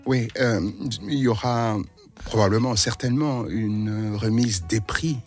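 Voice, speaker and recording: A man's voice: on the low side, a little slow, soft background music. A male radio host asking long, searching questions in an interview. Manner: wordy, searching for words.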